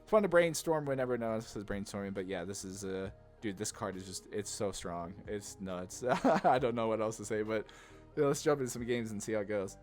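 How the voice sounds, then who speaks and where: A man's voice: lowish voice, animated, brisk, hardly any pauses, darkish tone. A man giving casual, rambling commentary on a card game, thinking aloud in slangy, informal speech. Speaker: gaming content creator. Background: background music.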